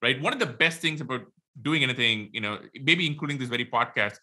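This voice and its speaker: A middle-aged man with an Indian accent, a podcast guest talking quickly and animatedly on a video call from a home room. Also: mid-range voice. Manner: quick, animated, many fillers. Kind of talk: casual interview talk. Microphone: headphones, video call.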